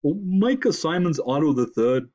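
Huge sniveling moose, grunting and congested. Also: male voice, very animated, dark tone, muffled recording. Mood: dim, friendly. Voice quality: deep, grunting, congested, snorting. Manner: slow-witted, sniffly, garbled consonants. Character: huge sniveling male moose with head cold. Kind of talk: animal character in storytelling.

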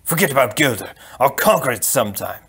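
A man voicing a vain, bad-tempered young prince in a storybook, blunt, loud and blustering. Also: mid-range voice, very animated, a little fast, slightly rough, full character voice. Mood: blustering, demanding.

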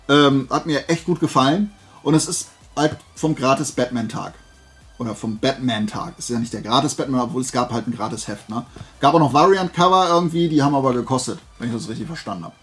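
A man hosting a haul video, rambling casually to his viewers, often drifting off track and correcting himself. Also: mid-range voice. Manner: chatty, digressive, self-correcting. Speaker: flea market haul video host.

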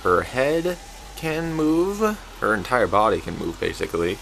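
A man giving a casual craft showcase in an informal, halting, rambling way. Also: on the low side, very animated, gravelly, a bit bright, a little hiss or hum. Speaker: craft video creator showing a homemade puppet. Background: background music.

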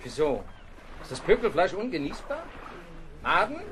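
An actor playing a stern, fault-finding naval captain in a radio play, clipped, commanding and condescending. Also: male voice, high voice, sing-song, moderate pace, a bit bright, a little hiss or hum. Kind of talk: German radio play acting.

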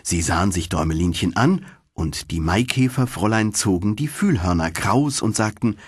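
Expressive deep-voiced male fairy-tale narrator. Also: normal speed, breathy edge, no room sound, studio quality. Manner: expressive storytelling. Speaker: audiobook narrator.

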